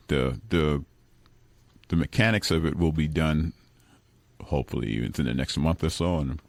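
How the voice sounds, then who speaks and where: A man's voice: extremely low pitch, a little mellow, no echo. A man from Brooklyn speaking as a guest on a radio interview, talking in a rambling way and often restarting his sentences. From Brooklyn, New York. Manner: rambling, self-correcting, chuckles now and then. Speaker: guest musician. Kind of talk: relaxed radio interview talk. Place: radio studio.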